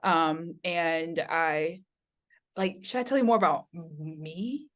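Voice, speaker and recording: Candid woman in her twenties, lowish voice. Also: slow pace. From Taiwan. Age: 20s. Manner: chatty, candid, laughing easily. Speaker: guest. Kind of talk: casual interview.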